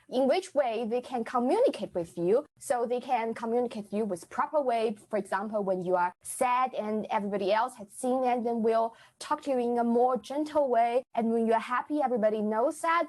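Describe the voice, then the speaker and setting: Bright-pitched young woman chatting. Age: 20s. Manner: earnest, improvising a playful mock pitch. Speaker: theatre group member, guest. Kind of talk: casual podcast chat.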